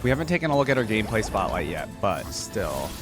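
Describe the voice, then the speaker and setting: A man's voice: lowish voice, big pitch swings, quick pace, over music. A man hosting a reaction video, commenting casually on a game and thinking aloud in an informal way. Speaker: reaction video host, gamer.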